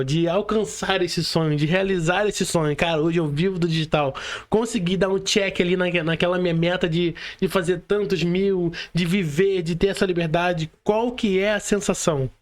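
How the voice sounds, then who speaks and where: High-voiced Brazilian man hosting a podcast. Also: normal speed. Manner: informal, frequent tag questions seeking agreement. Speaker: podcast host interviewing a guest. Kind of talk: casual podcast interview.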